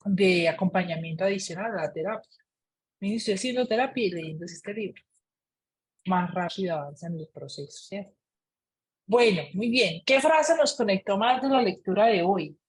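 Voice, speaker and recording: A woman facilitating an online reading club, calmly giving instructions for a writing exercise and encouraging participants. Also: mid-range voice, husky, rough recording. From Colombia. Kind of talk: guiding a group writing exercise.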